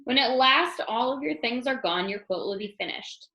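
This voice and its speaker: A woman's voice: a little high, expressive, normal speed, pushed and bright, reverberant, pitched above the performer's own voice, poor audio. A woman voicing a generous, principled storybook quiltmaker with a firm, plain-spoken manner. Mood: steady, resolute.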